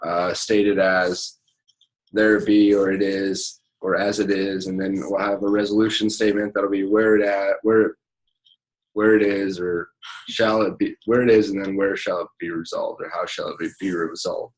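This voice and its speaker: A young man from Nebraska with a fairly low voice walks listeners through convention procedures. He talks casually as a state student officer, stumbling through explanations with plenty of fillers. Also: flat delivery, moderate pace, low-quality recording. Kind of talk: explaining procedures on a conference call.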